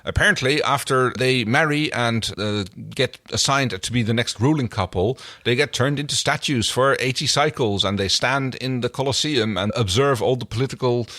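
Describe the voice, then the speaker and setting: Tentative male podcast co-host, medium pitch. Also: normal speed, hardly any pauses, bright, forceful tone, no echo, clean studio sound. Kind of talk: casual TV episode recap chat.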